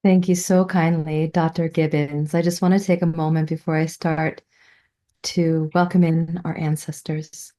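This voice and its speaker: A middle-aged woman giving a prepared personal presentation, telling her story in a reverent, deliberate way. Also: low-pitched, flat delivery, warm tone. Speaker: student presenting a thesis.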